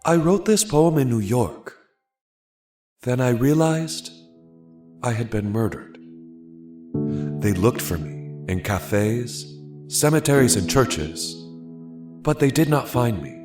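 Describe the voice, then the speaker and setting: A man voicing a haunted, stubborn poet in an audio story, with dramatic, measured delivery. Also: mid-range voice, animated, over music. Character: haunted, stubborn male poet reciting his own death.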